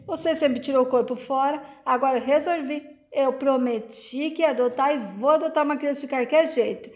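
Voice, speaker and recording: A determined middle-aged country wife, firm and earnest about her promise, read by a woman in a high voice. She speaks plainly and steadily. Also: a little fast, natural voice.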